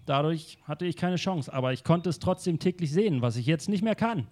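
A man's voice: fairly high pitch, animated, a little fast, a little mellow, natural voice. A man voicing a rich, eccentric male art collector who talks in an indignant, self-assured way.

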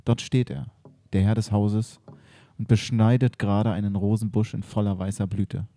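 Male narrator, improvised radio play, calm, dark mellow voice, dry close sound. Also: on the low side, normal speed, no room sound.

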